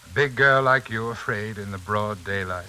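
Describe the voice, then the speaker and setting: An actor playing a pompous, silkily menacing uncle and occult hypnotist in a 1940s radio suspense drama. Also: male voice, fairly low pitch, moderate pace, bright, forceful tone. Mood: silkily coaxing. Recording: hissy, crackling 1940s radio recording.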